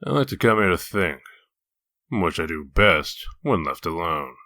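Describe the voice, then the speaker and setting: A man playing a gruff, humorless wolf-man detective in a comic dub, curt, stern and deadpan. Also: deep voice, unhurried, dry recording, studio quality, full character voice. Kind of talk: comic dub character acting.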